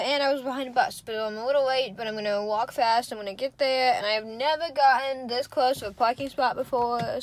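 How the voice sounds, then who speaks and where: A woman's voice: on the high side, a little hiss or hum. Chatty young woman vlogging her day. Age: young adult. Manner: chatty, rambling, self-narrating her day. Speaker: college student vlogger. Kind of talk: casual vlog chat. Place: in her car and around campus.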